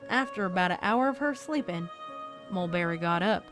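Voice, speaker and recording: A woman voicing a deadpan cowgirl pony who tells a spooky tale in a folksy, dramatic way for a fan fiction audio story. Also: lowish voice, normal speed, lightly acted. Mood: grave, ominous. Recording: background music under the voice.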